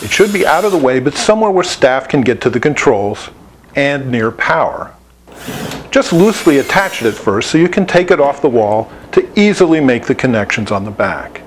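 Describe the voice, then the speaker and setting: A man's voice: medium pitch, sing-song, normal speed, a little hiss or hum. A man giving a scripted instructional narration, explaining each step plainly as an instructor. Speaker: instructor presenting an installation tutorial.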